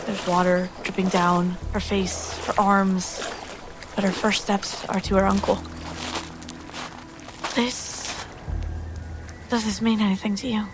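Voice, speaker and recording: A woman playing a tabletop game, narrating her character's scene in a soft, measured storytelling way. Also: medium pitch, flat delivery, over music. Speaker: tabletop roleplay player. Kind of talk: descriptive in-character fantasy narration.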